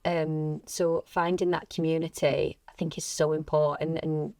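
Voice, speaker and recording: Woman w a deep-ish voice, podcast chat, thoughtful and earnest, very dry sound. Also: little pitch movement, unhurried, mellow, no room sound. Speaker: actress and acting coach.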